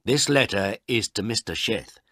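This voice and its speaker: A man's voice: fairly low pitch, lively intonation, moderate pace, sharp tone, dry recording, studio quality, voice pushed up. A man voicing a helpful village school teacher who explains things reassuringly in a storybook reading.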